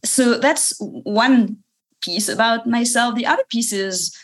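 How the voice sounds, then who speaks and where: A woman from France with a French accent, a company founder talking in a relaxed interview, pausing often with fillers. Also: on the high side. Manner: thoughtful, many pauses and fillers. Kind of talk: casual interview.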